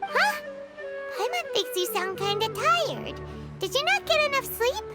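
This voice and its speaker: Tiny squeaky fairy game mascot, childlike n whiny, slow-ish and super high, music under it. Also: female voice, big pitch swings, heavily acted. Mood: cheerful. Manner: refers to herself in the third person. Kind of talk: animated video game voice acting.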